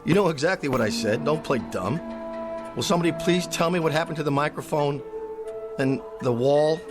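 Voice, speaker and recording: An actor playing a vain, pompous TV cowboy star who whines and rants theatrically. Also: male voice, fairly high pitch, a little fast, music in the background, lightly acted. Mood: bewildered. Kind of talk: radio drama acting.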